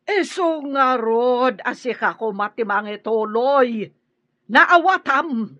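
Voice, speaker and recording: An actress playing a bitter, hard-nosed warrior clan mother in an Ilocano radio drama, scolding and forceful. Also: a little high, animated, takes it slowly, dry recording, highs cut off, exaggerated voice.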